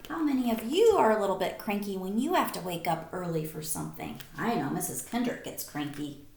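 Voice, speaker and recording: A woman teacher reading a picture book aloud to young children, expressive, with simple questions, in an echoey room. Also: medium pitch, a little fast. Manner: animated, simple child-directed phrasing.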